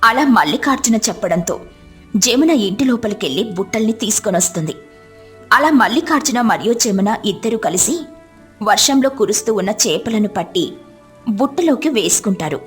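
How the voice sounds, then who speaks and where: A woman's voice: medium pitch, lively intonation, normal speed, soft background music. A woman narrating a scripted devotional moral story as a storyteller.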